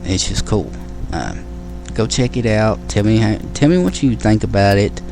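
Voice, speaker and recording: A man's voice: on the low side, expressive, moderate pace, warm tone, faint music behind, rough recording. A man hosting his own show, talking casually to listeners in a rambling way with many fillers and restarts. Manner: friendly sign-off to listeners. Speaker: podcast host. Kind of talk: casual solo show talk.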